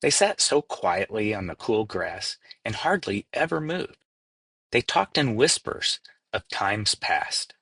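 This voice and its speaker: A man's voice: fairly low pitch, expressive, takes it slowly, gravelly, a bit bright, slightly rough, no echo. A man narrating a storybook as a scripted read-aloud. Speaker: storybook reader.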